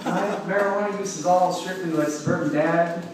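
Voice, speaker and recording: Man, stand-up comic, rambling self-mocking delivery, slightly high voice, echoey distant club recording with noise. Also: steady tone, fairly quick. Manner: rambling, self-mocking, heavy filler words and swearing. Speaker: stand-up comedian. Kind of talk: stand-up comedy set. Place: comedy club stage.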